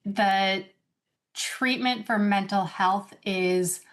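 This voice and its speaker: A woman professor answering an interview question briskly and firmly. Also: mid-range voice, a bit bright. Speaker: law professor.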